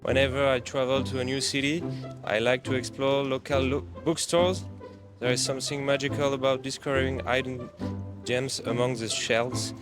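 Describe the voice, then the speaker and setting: A man's voice: medium pitch, level tone, normal speed, music in the background. A man from France with a French accent, reading a sentence aloud on the street. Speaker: passer-by. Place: street outdoors.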